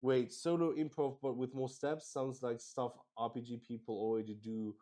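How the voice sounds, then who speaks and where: A man's voice: mid-range voice, level tone, moderate pace, dark tone. A man streaming a solo tabletop roleplaying game, explaining it casually to viewers in a hesitant, rambling way.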